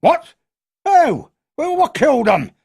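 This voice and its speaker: A man voicing a belligerent, red-faced male drinker in a village pub who shouts his questions. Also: very high voice, lively intonation, a little slow, pitched above the performer's own voice, full character voice.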